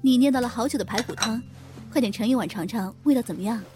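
Doting radio drama wife, played by a woman: slightly high voice, homely, fussing, music underneath. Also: fast, lightly acted. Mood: caring. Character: doting, teasing wife and mother at dinner. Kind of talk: Mandarin radio drama acting.

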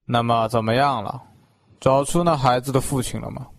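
A man's voice: mid-range voice, fairly even intonation, moderate pace, gravelly, no room sound, natural voice. A man playing a stern, worried middle-aged father in a radio drama, speaking with curt authority. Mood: stern, concerned. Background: music.

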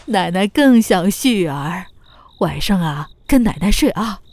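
Period-drama grandmother, female actor: older, fond, firm, motherly scolding, highly animated intonation. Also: slow, exaggerated voice. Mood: fond, forgiving. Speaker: voice actor in an amateur audio drama. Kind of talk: Chinese period audio drama acting.

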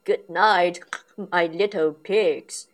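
A woman reading a storybook aloud and voicing a jolly father pig in a loud, hearty tone. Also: lowish voice, fairly even intonation, drawn-out words.

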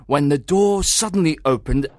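A man's voice: a little high, moderate pace, noisy background, lightly acted. A man playing a worried, suspicious husband who recounts his troubling story to a detective in a dramatised audiobook. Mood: uneasy, recounting.

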